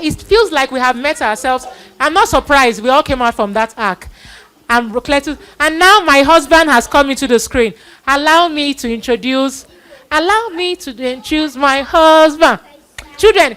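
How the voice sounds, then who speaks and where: High-voiced woman hosting a children's church event. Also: fairly bright tone. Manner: exhorting, repeats phrases. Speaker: host of a children's Bible play event. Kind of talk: live event hosting, introductions and thanks.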